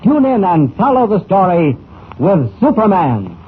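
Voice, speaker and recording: A man's voice: high voice, sing-song, measured pace, phone-quality sound. An American man announcing a radio serial in dramatic 1940s style, theatrical and emphatic, on a scratchy old recording.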